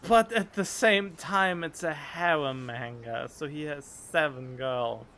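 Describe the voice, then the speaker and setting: A man's voice: fairly high pitch, slow pace, bright, forceful tone, a little hiss or hum. A man doing casual gaming stream commentary, reading game text aloud and reacting to it. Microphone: close studio condenser microphone. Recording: game music behind.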